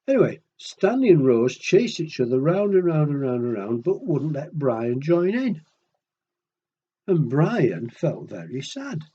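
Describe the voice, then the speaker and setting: A man reading a story aloud to young children in an expressive way, pausing for little asides and questions to his listeners. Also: a little high, husky, lo-fi sound.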